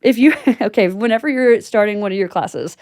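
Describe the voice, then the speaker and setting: A woman's voice: mid-range voice, a little fast, darkish tone, dry recording, clean studio sound. A woman hosting a podcast in a casual, chatty interview style with informal, conversational phrasing. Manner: informal and chatty, frequent "like" and "you know". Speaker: podcast host.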